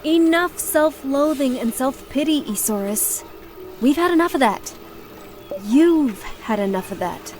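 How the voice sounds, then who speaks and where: A woman's voice: high voice, lively intonation, unhurried, a little mellow, soft background music. An actress playing an earnest, firm young woman of faith in a video game cutscene. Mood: firm, caring.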